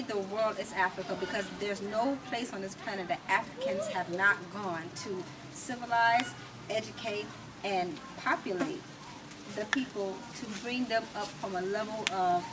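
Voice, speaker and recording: An American woman giving her opinions as an interview guest, emphatic and rhetorical, speaking in deliberate, repeated phrases. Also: mid-range voice. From United States. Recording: distant mic with clear background noise.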